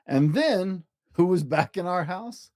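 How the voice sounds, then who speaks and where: Animated man reading a children's story. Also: high-pitched, slow pace, dry recording, studio quality. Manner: expressive, emphatic rhyming delivery. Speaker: storybook reader, host.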